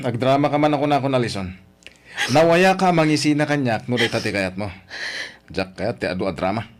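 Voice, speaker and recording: A man's voice: medium pitch, lively intonation, moderate pace, natural voice. A man acting a callous young womanizing boyfriend in a radio drama, with a scornful, taunting delivery. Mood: mocking, dismissive.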